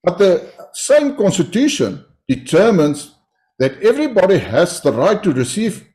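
A man with a South African accent, Afrikaans his first language, answering interview questions in a measured way with frequent hesitations. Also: high-pitched, sing-song, dark tone. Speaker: politician guest.

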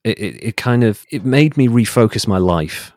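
Low-pitched man explaining, dry close sound. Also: animated, normal speed, darkish tone, no room sound. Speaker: guest. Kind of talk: personal interview account.

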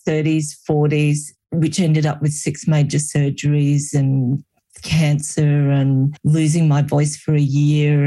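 A woman's voice: deep voice, mellow. A middle-aged woman, a healer and guest, telling her personal story in an earnest, reflective way during an interview.

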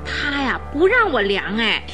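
A woman's voice: fairly high pitch, very animated, unhurried, over music. A woman playing an anxious, talkative housekeeper in a radio drama, fretting and sighing as she speaks. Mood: fretful. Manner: fretful, sighing, exclaiming.

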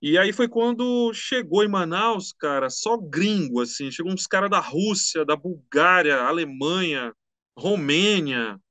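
Chatty high-voiced man. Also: measured pace, no echo. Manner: relaxed, slangy, chatty. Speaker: musician guest. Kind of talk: casual interview storytelling.

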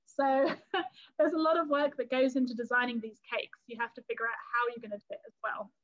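A bright, high-pitched woman with a London-area English accent talks in an easy, chatty way and keeps acting out little quoted scenes. The recording is a bit muffled and dull. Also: fairly even intonation, a little fast, a little hiss or hum. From England, London area. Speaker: cake artist and business owner, guest. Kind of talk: casual interview talk.

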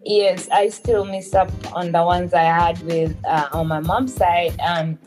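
A woman's voice: lowish voice, steady tone, unhurried, a little mellow, faint music behind. A young woman hosting a podcast, chatting casually and candidly about personal matters. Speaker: podcast host. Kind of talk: casual chat.

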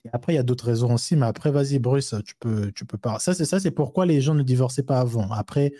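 A man's voice: medium pitch, quick pace, warm tone, dry recording, clean studio sound. A man co-hosting a live discussion, talking loosely with many restarts and everyday examples. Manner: rambling, frequent fillers and restarts, explains with everyday examples. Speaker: co-host of a live discussion show.

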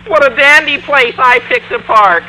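An actor playing an excitable, scheming high school boy with a squawky adolescent voice in an old-time radio comedy. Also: moderate pace. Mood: sheepish, eager. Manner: gushing, stammering, eager. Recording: faintly noisy vintage radio recording.

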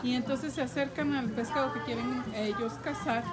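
A woman's voice: high-pitched, normal speed. A Mexican woman hosting at an outdoor event, chatting casually with many fillers and translating for viewers. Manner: chatty, many fillers, switches to English to ask questions. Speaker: host.

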